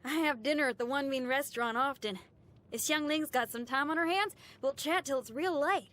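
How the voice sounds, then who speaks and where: A woman's voice: high voice, fairly quick, fairly bright tone. A woman playing a brash, upbeat, free-spirited young female rock musician in a video game, with a folksy, slangy and enthusiastic way of talking. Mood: chatty, expressive. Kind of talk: video game character voice lines.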